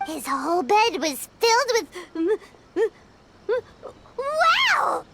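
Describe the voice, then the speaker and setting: A woman voicing a small, excitable, childlike fairy companion in a video game, bubbly and eager. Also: extremely high pitch, takes it slowly, slight background noise, heavily acted. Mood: excited, surprised. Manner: bubbly, eager, refers to self in third person. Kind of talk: animated video game voice acting.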